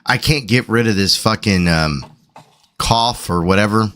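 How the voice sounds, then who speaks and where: A man co-hosting a livestream talks in a rambling, profane way about feeling old. His low voice is going hoarse and still carries a bright, forceful edge. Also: measured pace. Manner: rambling, profane, self-deprecating. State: losing his voice. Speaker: livestream co-host. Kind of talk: casual chat.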